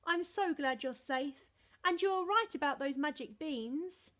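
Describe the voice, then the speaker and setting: A woman's voice: high voice, a little slow, fairly bright tone, voice pushed up. A woman voicing a loving mother who speaks tenderly in a storybook reading. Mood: relieved. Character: loving mother of a young boy.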